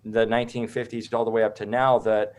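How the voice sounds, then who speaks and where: A man's voice: lowish voice, level tone, fast talker, darkish tone. A man from the United States speaking as an interview guest, informal, opinionated and rambling. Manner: informal, rambling, checks in with "right?".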